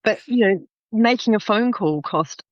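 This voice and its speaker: A woman in her fifties with an Australian accent, chatting as a podcast guest. She tells her stories in a lively way and laughs often. The sound is dry and a little dull. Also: mid-range voice, unhurried, no room sound. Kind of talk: podcast interview.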